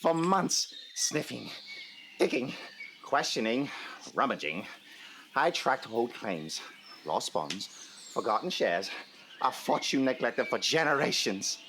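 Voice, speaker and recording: An eccentric investigator in an audio drama, brimming with triumph. His delivery is theatrical and unhurried, with pitch leaping wildly up and down. A little noise sits behind him. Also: big pitch swings, bright, forceful tone, heavily acted. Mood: triumphant, relishing. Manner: theatrical, emphatic, breaking into lists and repetitions. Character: exuberant, eccentric male investigator bursting with triumph.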